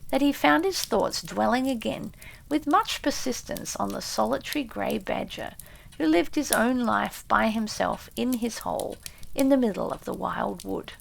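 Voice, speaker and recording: A woman reading a classic storybook as an audiobook narrator, in a measured, expressive way. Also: on the high side, gravelly, slight background noise, poor audio.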